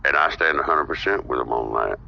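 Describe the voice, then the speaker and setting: A man with a very deep voice talks politics as a podcast guest. He explains his views earnestly and steadily. The recording sounds dull and lo-fi. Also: brisk, gravelly. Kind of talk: casual political discussion. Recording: dull lo-fi audio.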